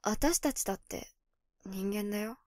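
An actress playing a calm, calculating young female werewolf in a voice drama, speaking matter-of-factly. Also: mid-range voice, measured pace, no echo, clean studio sound, lightly acted. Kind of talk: Japanese voice drama acting.

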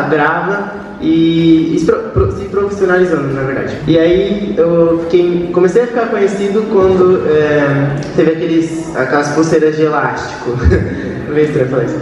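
Man, young adult, fairly high voice, relaxed and hesitant, handheld mic, distant hall, crowd noise. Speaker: youtuber, channel host. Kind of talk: casual talk on a panel. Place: large hall stage, seated on a couch. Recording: echoey hall from far off, crowd.

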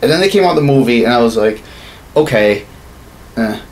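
A man's voice: medium pitch, expressive, fairly quick, a little mellow, low-quality recording. A man in his thirties chatting casually, profane and ranting as a podcast co-host in a small room. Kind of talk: casual opinionated chat about films. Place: small home studio room, indoors.

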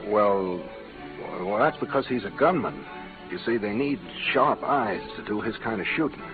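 An actor as a protective cowboy companion. His pitch moves up and down a lot as he frets and cautions in folksy fashion. It sounds like a narrow-band vintage radio with clear music behind. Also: expressive, moderate pace, close to an everyday voice. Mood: explaining. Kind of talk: 1940s-50s radio western acting. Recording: tinny old radio recording, music behind.

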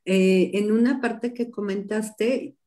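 A woman on a video call asks her teacher about something he said, speaking slowly and pausing often to find her words. Her voice has a medium pitch and comes through a laptop microphone. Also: fairly even intonation, dark tone. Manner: hesitant, searching for words. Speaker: class participant. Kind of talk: asking a question in a class. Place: home room, video call. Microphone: laptop webcam mic on a video call.

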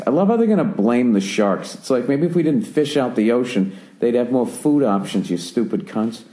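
A man's voice: medium pitch, mellow. A man in his forties with an American accent, ranting and telling stories as a podcast host in casual chat. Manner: rambling, profane, ranting storyteller. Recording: roomy, echoey recording.

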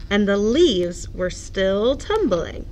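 A woman's voice: mid-range voice, very animated, takes it slowly, darkish tone, a little hiss or hum, poor audio. A woman narrating a children's picture book expressively, as a librarian at story time.

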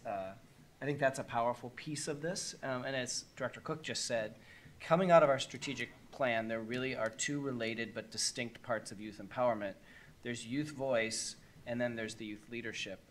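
A man in his fifties speaking at a school board meeting through a desk mic, animated, quoting students in lively voices. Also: medium pitch, a little hiss or hum. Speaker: school district administrator. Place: meeting room. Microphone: desk gooseneck mic.

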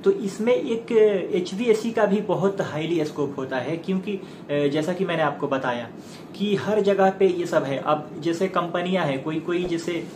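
A man in his thirties speaks in a slightly high voice, explaining things informally as an online presenter. His phrasing is halting, with many filler pauses. The lapel-mic recording is echoey, with clear background noise. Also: expressive. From India. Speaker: YouTube presenter giving career advice. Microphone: clip-on lapel mic.

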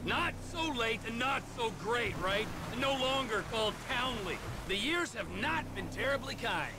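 An actor playing a brash middle-aged male career criminal in a video game, abrasive and mocking, prone to bursts of shouting. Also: extremely high pitch, animated, unhurried. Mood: wry. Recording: game audio under loud background noise.

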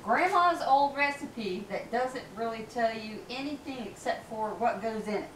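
A woman's voice: fairly high pitch, animated, normal speed. An older woman helping in a home kitchen, teasing her friend and asking how much of each thing to use. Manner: teasing, questioning. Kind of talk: casual kitchen chat. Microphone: camera across the room. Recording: echoey kitchen, camera far off, noisy.

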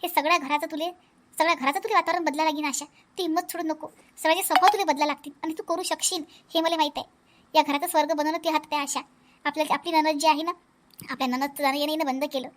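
A woman's voice: high voice, fairly even intonation, quick pace, lightly acted. A woman voicing an outspoken, protective elder sister-in-law in a family cartoon, emphatic and repetitive, with a Vidarbha Marathi accent. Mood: earnest advice. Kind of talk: animated family comedy acting.

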